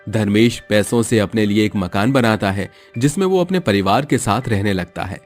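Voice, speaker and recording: A male storyteller reads scripted narration in a low voice. The delivery is that of a moral tale, and music plays behind him. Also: moderate pace.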